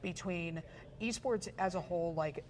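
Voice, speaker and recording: A woman's voice: lowish voice, moderate pace, a little mellow. A woman in her forties from Pittsburgh, a guest in an interview, telling her story in a chatty way with many fillers and restarts. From Pittsburgh, USA. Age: 40s. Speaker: guest, esports photographer and journalist. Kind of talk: casual interview chat.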